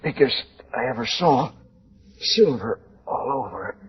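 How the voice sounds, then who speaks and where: An actor playing a weak, shaken scout, gasping out a report in 1940s radio style. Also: male voice, medium pitch, expressive, measured pace, breathy edge. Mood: faltering. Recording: dull, distant-sounding 1940s radio recording.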